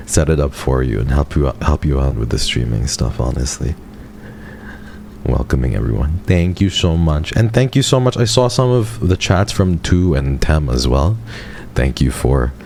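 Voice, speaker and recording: Very deep-voiced man chatting on stream. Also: slight background noise. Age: 20s. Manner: rambling and affectionate, jumps high in pitch when excited. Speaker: VTuber streamer. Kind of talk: casual livestream chat with viewers.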